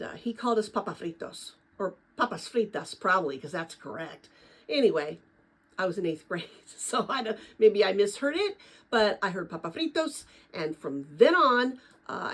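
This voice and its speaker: An older woman, a retired teacher, with a chatty, animated way of talking, chatting informally and reading a children's book aloud. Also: mid-range voice, normal speed. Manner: chatty, animated, rambling with personal asides. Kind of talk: informal chat and storybook read-aloud.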